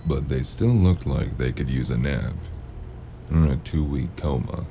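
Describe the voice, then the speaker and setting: Actor as a very deep-voiced, jaded noir detective. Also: male voice, unhurried, mellow, slight background noise. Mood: wry, weary. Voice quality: deep, creaky. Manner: wry, world-weary, casual. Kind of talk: noir audio drama with first-person narration.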